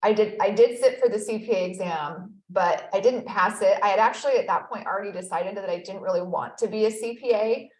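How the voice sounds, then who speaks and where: A woman in her thirties speaks as an interview guest, earnest and reflective, often slipping in "you know." Her voice sits at a middle pitch and carries a noticeable room echo. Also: a little fast, hardly any pauses. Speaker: guest, accountability expert. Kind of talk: conversational interview.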